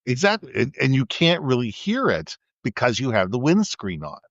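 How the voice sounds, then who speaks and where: A man co-hosting a casual podcast, talking at length in a booming voice, with frequent restarts, into a USB mic kept a little away from his face. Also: wildly swooping pitch, normal speed, no room sound, highs cut off. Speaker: podcast co-host. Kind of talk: casual chat. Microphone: USB condenser mic with windscreen, held back from the mouth.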